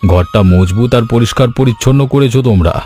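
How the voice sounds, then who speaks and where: A man's voice: medium pitch, fast, warm tone, soft background music, close to an everyday voice. A man voicing a kindly old village uncle in a cartoon story, with a fatherly, moralizing manner. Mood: pleased, tender. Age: older. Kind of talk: Bengali animated story voice acting.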